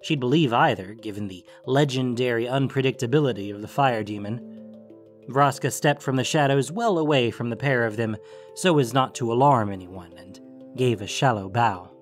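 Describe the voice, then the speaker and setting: A man's voice: medium pitch, moderate pace, soft background music. Male narrator of a fantasy story.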